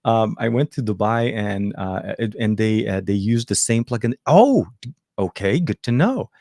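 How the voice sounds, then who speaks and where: A man's voice: lowish voice, lively intonation, moderate pace, warm tone, no room sound, studio quality. Friendly, rambling Lebanese-born man. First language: Arabic. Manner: rambling, many fillers and restarts, friendly. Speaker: tech show host. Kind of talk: casual livestream chat reading viewer comments. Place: home.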